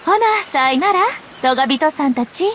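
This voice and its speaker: A woman's voice: high-pitched, lively intonation, fairly quick, fairly bright tone, a little hiss or hum. An actress voicing a self-possessed, teasing young woman journalist in a Japanese game, speaking in a nonchalant Kyoto-style Kansai accent. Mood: breezy. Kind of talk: Japanese anime game voice acting.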